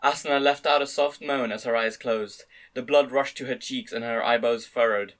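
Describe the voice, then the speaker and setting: A man's voice: mid-range voice, fairly even intonation, normal speed, a bit bright. A man narrating a first-person story as an amateur dramatic reading. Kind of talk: dramatic reading of fan-read light novel narration.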